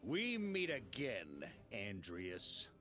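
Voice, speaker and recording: An actor playing a hearty middle-aged knight commander in a video game, easygoing yet commanding. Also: male voice, on the low side, huge pitch swings, extremely slow, pushed and bright, soft background music, exaggerated voice. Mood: grave, musing.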